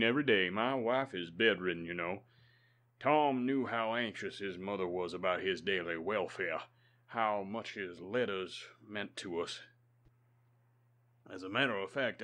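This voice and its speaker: Man playing a low-voiced, courteous bereaved reverend. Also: a bit bright. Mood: fond, grieving. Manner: courteous, halting, sentimental. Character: gentle, courteous bereaved reverend, doting father. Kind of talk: old-time radio drama acting.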